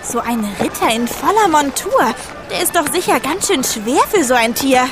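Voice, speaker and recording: A kind, friendly schoolgirl in a children's audio adventure. The voice is high and inquiring. Music can be heard behind it. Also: expressive, moderate pace, crowd noise. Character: friendly, caring twin schoolgirl. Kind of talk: children's audio drama acting.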